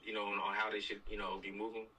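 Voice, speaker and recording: A man's voice: lowish voice, little pitch movement, fast, sharp tone. A man talking casually and hesitantly on a video call, circling back to the same phrases as he gives his input. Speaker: film team member giving input. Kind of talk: casual group discussion. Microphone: video call microphone. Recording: distant, faintly noisy video-call audio.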